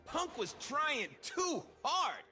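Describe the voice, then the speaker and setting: A flamboyant, vain bird-man warrior from a video game, with a high, slightly rough voice. His pitch swings wildly as he swaggers and mocks, theatrical and playful, over music. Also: big pitch swings, very slow, sharp tone, exaggerated voice. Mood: scornful. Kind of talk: video game character voice lines.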